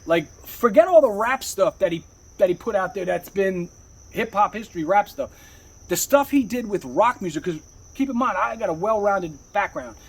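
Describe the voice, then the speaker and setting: A man speaks in a high, rough-edged husky voice that leaps up and down with emphasis. He rambles casually like a rapper telling his own story, repeating himself and peppering it with street slang and curses. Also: lively intonation, moderate pace, rough recording. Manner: emphatic, repetitive, streetwise slangy talk with frequent swearing. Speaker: rapper, interview guest.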